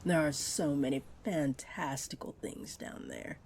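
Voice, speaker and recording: A low-voiced, flirty mermaid played by a woman coaxes in breathy half-whispers, taking her time with every phrase. She is greedy and possessive, speaking intimately as in a roleplay audio drama, over faint wave ambience. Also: steady tone, a little slow, a little mellow, a little hiss or hum. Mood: coaxing, flirty.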